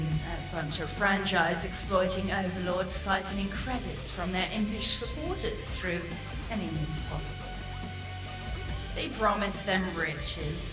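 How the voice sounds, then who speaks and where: A woman's voice: mid-range voice, close to an everyday voice. Woman acting a haughty succubus, roomy and distant. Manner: sardonic, florid, condescending, swears freely. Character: haughty, seductive female succubus demon, sardonic and theatrical. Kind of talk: video game character acting.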